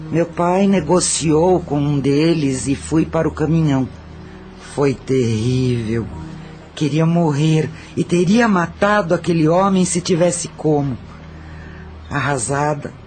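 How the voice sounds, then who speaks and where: A man's voice: a little high, a little mellow. A man with a Brazilian accent narrating a scripted first-person audio story.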